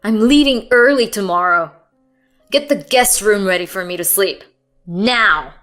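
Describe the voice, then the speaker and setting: A woman voicing an angry, domineering husband who shouts harsh commands in a storybook narration. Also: mid-range voice, lively intonation, a little slow, soft background music. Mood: furious.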